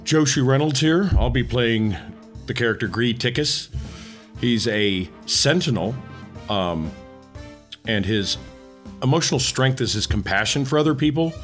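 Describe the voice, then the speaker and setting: A man's voice: medium pitch, lively intonation, measured pace, long pauses, fairly bright tone, soft background music. A man, a player on a tabletop game podcast, explaining things in casual talk.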